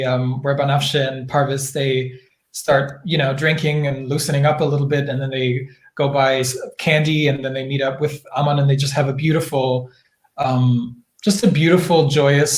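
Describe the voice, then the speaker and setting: A man's voice: medium pitch, reverberant. A man answering interview questions as an actor, speaking in an earnest, thoughtful, conversational way. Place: indoor room, virtual Q&A.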